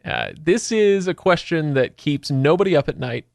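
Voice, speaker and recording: A male podcast host chats casually and trades jokes in a bantering way. His voice sits a bit high for a man and has a gravelly fry, captured very dry. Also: animated, normal speed, no echo. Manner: jokey, bantering.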